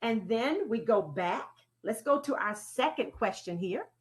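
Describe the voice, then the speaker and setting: A woman leading an interactive training talk in a deliberate, teacherly way, putting questions to her audience and repeating key phrases. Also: on the high side, lively intonation. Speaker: presenter leading a training session. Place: online conference call with slides.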